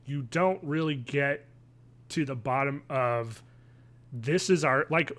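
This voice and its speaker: A man, chatting casually and haltingly as a podcast co-host from home. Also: medium pitch, a bit bright. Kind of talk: casual review chat. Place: home living room, video call.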